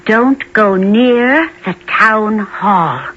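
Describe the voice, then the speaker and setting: A woman's voice: mid-range voice, animated, very slow, a bit bright, slightly rough, full character voice. An actress playing a frightened, sorrowful frontier townswoman who pleads urgently in a dramatic radio-play style. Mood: grave, urgent. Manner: dramatic, imploring. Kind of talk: 1970s radio drama acting. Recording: muffled, lo-fi old radio recording, faint noise.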